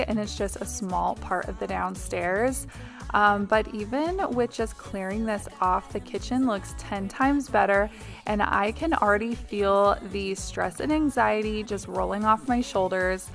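A woman's voice: mid-range voice, a little slow, creaky, faint music behind. A woman in her thirties, a mother and home vlogger, chatting through a cleaning video voice-over in a confiding, casual way with little ums.

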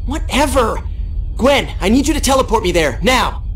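A man's voice: very high-pitched, big pitch swings, normal speed, fairly bright tone, soft background music, heavily acted. An actor playing a cocky, impatient teenage boy hero in a video game, brash and determined.

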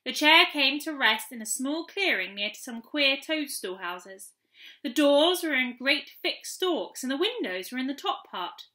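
A young woman reading a children's story aloud in an expressive storytelling style. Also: high voice, a little slow. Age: young adult. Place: small room at home, indoors.

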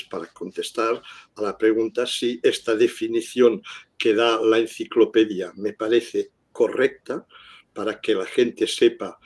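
Slow, low-voiced older man. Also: darkish tone, slightly rough. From Catalonia, Spain. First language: Catalan. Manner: unhurried, hesitant with many fillers. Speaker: guest scientist. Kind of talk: video call interview. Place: home room indoors. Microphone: video call webcam.

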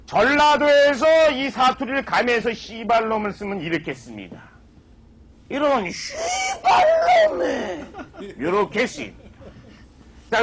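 A man on a comedy stage giving a mock lecture, drawing out his words slowly for emphasis. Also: extremely high pitch, a bit bright. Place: stage with live audience.

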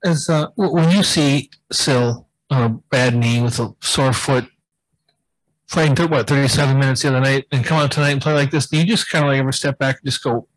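A man's voice: medium pitch, fairly even intonation, fairly quick, low-quality recording. A man asking conversational questions as a sports reporter at a press conference.

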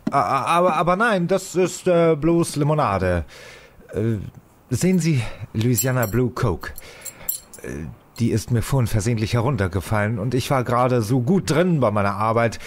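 An actor playing a nervous, evasive young radio playwright who stammers and hesitates, in a German audio drama. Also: male voice, mid-range voice, animated, normal speed, natural voice. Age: young adult.

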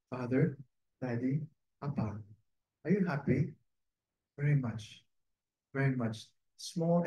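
A man, a priest and native Cantonese speaker, giving a gentle, conversational reflection on the Sunday readings, often adding a questioning "no?". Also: a little high. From Cantonese-speaking region. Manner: gentle, conversational, tag "no?" and soft laughs. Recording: echoey room.

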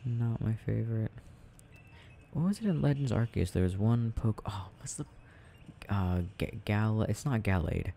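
A man with a mellow, gravelly voice rambles through a video game in an ASMR style. He muses to himself haltingly and takes his time, with slight noise behind him. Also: on the low side, expressive. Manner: murmured, halting, musing to himself. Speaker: audio performer playing a boyfriend gaming.

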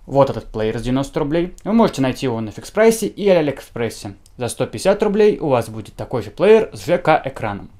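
A man's voice: mid-range voice, a little mellow. Young man with a very varied intonation. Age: young adult. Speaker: gadget reviewer. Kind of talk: informal product review to camera. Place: small indoor room, seated at a table.

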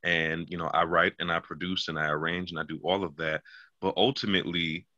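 A man's voice: sits low, level tone, a little fast, bright, forceful tone, no echo. A man in his thirties, a songwriter on a panel, talking reflectively with many fillers over a video call from home. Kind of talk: panel discussion. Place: small home room indoors. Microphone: phone at arm's length on video call. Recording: dry, dull lo-fi video call audio.

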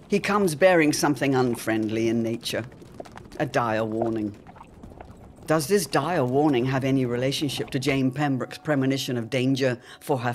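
An announcer narrating a serial audio drama in a formal, measured, old-time radio style with theatrical flair. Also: mid-range voice, slight background noise.

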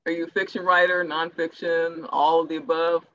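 A woman speaking in a formal, measured, academic way as a playwright in a panel discussion. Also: deep voice, flat delivery, pushed and bright, dry recording. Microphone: video call. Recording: dull, lo-fi video call audio.

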